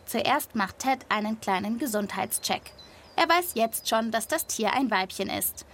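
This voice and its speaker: A woman's voice: a little high, creaky, a bit bright. A woman narrating a scripted wildlife story for children, with a vivid, playful storytelling manner. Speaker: host of a children's wildlife podcast.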